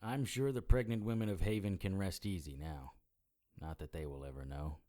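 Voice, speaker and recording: Low-voiced wry detective played by a man. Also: moderate pace, dark tone, lightly acted. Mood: dry, sardonic. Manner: dry, sardonic. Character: wry, weary male supernatural private investigator. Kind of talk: audio drama acting with first-person noir narration.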